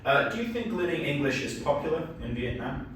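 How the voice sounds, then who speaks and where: A man runs a speaking exam, asking his questions patiently and filling pauses with ums. His voice comes from a distance in a very echoey room. Faint background noise sits underneath. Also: a little high, lively intonation, a bit bright. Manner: patient, with frequent fillers. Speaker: speaking test examiner. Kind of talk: conducting a mock exam.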